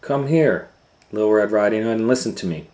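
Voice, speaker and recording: A man voicing a fussy, caring storybook mother who gives brisk, firm instructions. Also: on the low side, expressive, darkish tone, pitched above the performer's own voice, close to an everyday voice. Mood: firm, fussing. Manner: brisk, bossy, listing instructions.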